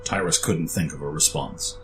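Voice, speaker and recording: A man's voice: a bass voice, normal speed, soft background music. A man narrating a scripted fantasy story as its storyteller.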